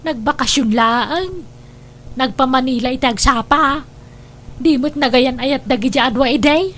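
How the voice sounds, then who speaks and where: High-pitched village gossip played by an actress. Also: female voice, moderate pace, fairly bright tone. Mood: gossipy. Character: gossipy woman friend confiding in a worried mother. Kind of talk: Ilocano radio drama acting.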